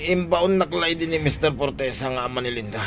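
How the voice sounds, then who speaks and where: A man's voice: a little high, a little fast, fairly bright tone. Pleading captive henchman over dramatic music. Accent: Ilocano. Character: captured henchman Brando, pleading for his life. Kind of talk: Filipino radio drama acting.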